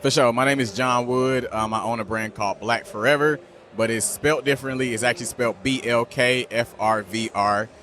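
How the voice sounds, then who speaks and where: Bright-voiced man, easygoing. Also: medium pitch, brisk, a little hiss or hum. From Atlanta, USA. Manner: relaxed, slangy, easygoing. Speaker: brand owner, guest. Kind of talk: casual interview. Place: busy streetwear market.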